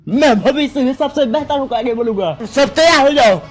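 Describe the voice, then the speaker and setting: A man's voice: very high voice, animated, fairly quick. A man voicing a determined, loyal, hot-headed young male ninja in an anime fan dub, earnest and emphatic. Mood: fiery, determined.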